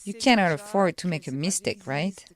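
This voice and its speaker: A woman speaking an interviewer's questions as a voice-over, in a brisk, questioning way. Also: on the low side, animated.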